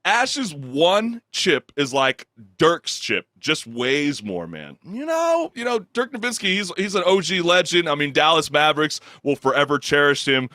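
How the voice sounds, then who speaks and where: A young man co-hosting a gaming talk show, who reads viewer comments aloud and laughs often in a low baritone that sometimes squeaks when he gets excited. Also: a little slow, few pauses, no room sound, clean studio sound. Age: young adult. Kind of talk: casual chat.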